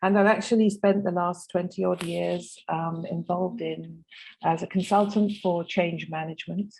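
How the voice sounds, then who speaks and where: A woman's voice: fairly low pitch, steady tone, normal speed, creaky, darkish tone, a little hiss or hum, low-quality recording. A middle-aged woman from the United Kingdom, a company head, speaking fluently as a roundtable panelist.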